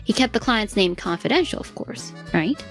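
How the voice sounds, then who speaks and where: A woman's voice: medium pitch, expressive, normal speed, close to an everyday voice. A woman playing a poised, self-assured CEO in her thirties in a manga dub, gracious and coy, with music under her. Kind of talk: dramatic manga dub voice acting.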